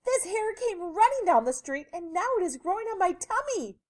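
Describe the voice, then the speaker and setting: A high, shrieking lady from a children's storybook, acted out by a woman reader. She is panicked and exasperated, yelling every complaint with wild swoops in pitch. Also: very animated, moderate pace. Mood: alarmed, exasperated. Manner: yelling and screaming. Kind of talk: children's storybook read-aloud.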